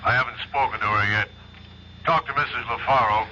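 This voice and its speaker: An actor playing a weary, grim bureau chief heard through a telephone filter in a 1970s radio drama. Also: male voice, mid-range voice, steady tone, brisk, bright, forceful tone, dull audio. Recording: thin phone effect, noisy old radio.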